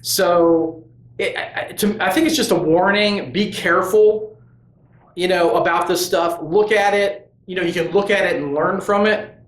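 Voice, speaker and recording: Man, channel host, casual monologue, slightly high voice, lots of restarts, echoey room. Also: moderate pace, a bit bright. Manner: rambling, earnest, with many restarts and "you know". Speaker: host of a tabletop gaming crafts channel. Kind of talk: casual opinion monologue to camera.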